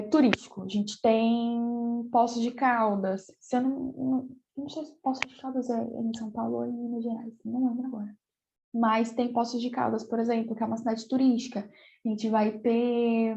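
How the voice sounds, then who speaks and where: A woman gives a geography lesson in a somewhat high, mellow voice that is slightly hoarse from a cold. She hesitates often, repeating small words and checking in with her students. The sound is muffled and lo-fi. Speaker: geography teacher. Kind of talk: online class lecture.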